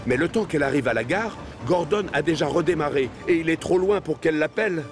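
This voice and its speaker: Fairly high-voiced male storyteller. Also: fast. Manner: expressive storyteller. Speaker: narrator. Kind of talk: scripted children's story narration. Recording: music behind the voice.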